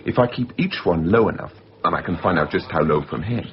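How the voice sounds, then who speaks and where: A man's voice: sits low, animated, a little fast. An actor in a radio drama playing a casual but insistent, scheming man obsessed with films. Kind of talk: 1990s radio drama acting. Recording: muffled, noisy radio drama recording.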